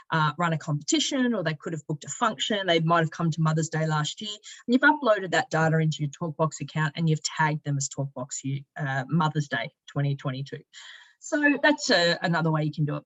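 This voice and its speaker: A woman giving a conversational software walkthrough as a company presenter, explaining each step informally. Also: low voice, dry recording, highs cut off. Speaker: sales and marketing director giving a product demonstration.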